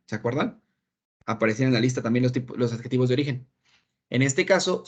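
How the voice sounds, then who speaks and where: A man with a Mexican Spanish accent explains a lesson patiently to his class, often stopping to check that everyone follows. His voice sits at an ordinary pitch. The audio is muffled and lo-fi, like an online class. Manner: patient, repeats points with frequent "¿ok?" checks. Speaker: English teacher.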